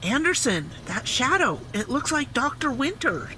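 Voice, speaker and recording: A woman's voice: sits high, big pitch swings, normal speed, noisy background. An actress playing a tense, skeptical woman scientist in an audio drama. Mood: hushed dread. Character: tense, sharp female scientist, urgent and skeptical. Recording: loud background ambience under voice.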